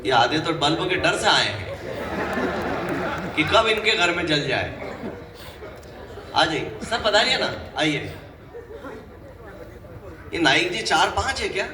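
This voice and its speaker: A man's voice: on the high side, sing-song, slow pace, pauses often, reverberant, big-room sound, recorded from a distance, a crowd in the background, background noise. A man performing as a poet on stage, making wry jokes at the audience. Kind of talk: stage comedy banter. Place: large hall with live audience.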